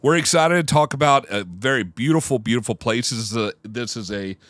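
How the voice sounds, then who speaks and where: A man's voice: mid-range voice, a little fast, fairly bright tone, dry recording. Kentucky man hosting a podcast, dry sound. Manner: rambling, restarts, reads facts aloud. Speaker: podcast host. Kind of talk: casual podcast chat. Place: home theater room.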